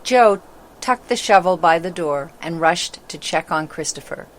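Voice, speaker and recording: A woman's voice: on the low side, expressive, unhurried. A woman narrating a children's story from a script. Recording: clearly noisy background ambience.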